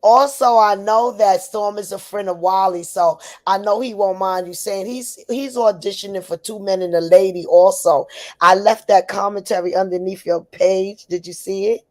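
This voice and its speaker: A woman from New York City speaks in a middle-pitched voice as a guest caller. Her talk is casual and familiar, full of terms of endearment. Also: fairly even intonation, normal speed, darkish tone. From Harlem, New York City. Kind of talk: casual chat.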